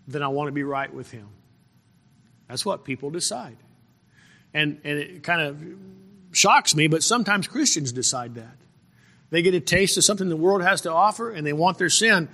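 A man preaching a verse-by-verse sermon, halting and repeating phrases, pressing points with rhetorical questions. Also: a little high, gravelly, sharp tone. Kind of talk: sermon, verse-by-verse Bible exposition. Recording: reverberant hall with long echo.

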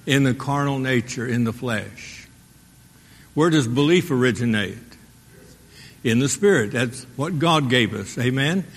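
A man preaching a sermon as pastor, asking the congregation rhetorical questions and pausing for their response. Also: medium pitch. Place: church sanctuary. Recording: long hall reverb.

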